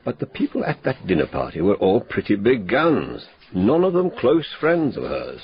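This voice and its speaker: An actor playing a businesslike police inspector in a radio drama, with a matter-of-fact way of briefing. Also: male voice, lowish voice, huge pitch swings, moderate pace, slightly rough, heavily acted. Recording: dull lo-fi radio drama audio.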